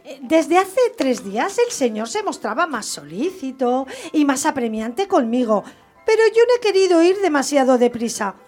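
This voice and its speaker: A woman playing a demure, quietly scheming young maid in radio theatre, coy and deferential. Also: high-pitched, sing-song, moderate pace. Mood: obliging, assured.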